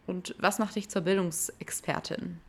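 A young woman hosting a casual interview podcast, friendly and upbeat, with relaxed greetings. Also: on the low side, moderate pace, creaky, breathy edge. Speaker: podcast host.

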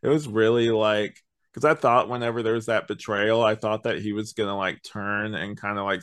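A man chatting casually as a podcast host, hedging and thinking out loud as he reviews. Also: mid-range voice, clear, resonant tone.

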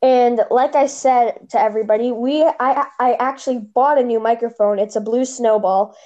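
A woman's voice: on the high side, fairly even intonation, moderate pace, dark tone, lo-fi sound. A woman hosting a casual podcast over a phone, chatty and rambling, with a slight lisp. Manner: chatty, rambling, restarts often. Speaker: podcast host.

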